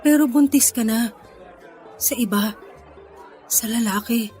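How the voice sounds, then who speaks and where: Woman acting a high-voiced, hesitant, lovestruck Filipino partner. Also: a little slow, a little mellow, soft background music, lightly acted. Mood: hurt, confused. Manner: hesitant, stammering, pleading. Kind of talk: Filipino radio drama acting.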